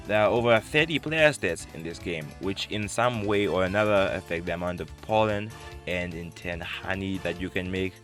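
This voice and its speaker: A young man with a low voice delivers scripted video commentary. He slips in casual asides and sounds wry and self-aware. Music plays clearly behind him. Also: fairly bright tone. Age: young adult. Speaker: YouTube video essayist.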